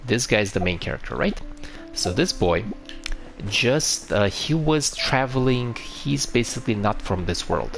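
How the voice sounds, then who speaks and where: A man's voice: on the low side, creaky, fairly bright tone. A man from Romania with a Romanian accent, chatting casually on a live stream, answering viewers and explaining a game with frequent restarts.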